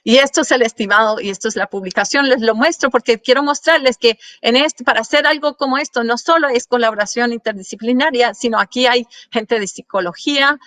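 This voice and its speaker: A woman's voice: on the high side, fairly quick, few pauses, a bit bright, no room sound. A woman presenting research slides to an audience, talking with many fillers and restarts and slipping in English words. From Colombian parents. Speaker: guest speaker.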